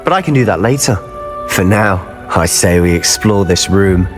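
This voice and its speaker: A man's voice: low voice, expressive, moderate pace, music in the background. An actor playing a bold, bookish young male wizard student in a video game, speaking. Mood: wondering. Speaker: game character.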